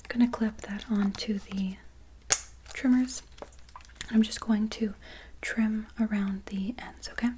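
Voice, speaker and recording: A woman speaking in a very soft, breathy whisper, gently and soothingly reassuring as in an ASMR personal-attention roleplay. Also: medium pitch, steady tone, slow, long pauses, a little hiss or hum. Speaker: ASMR creator playing a barber.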